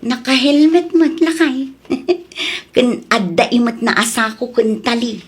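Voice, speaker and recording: A woman with an Ilocano Filipino accent playing a nagging, sharp-tongued village wife and mother in a comedy audio drama. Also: on the high side, unhurried, breathy edge. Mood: gossipy. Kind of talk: comedy radio drama acting.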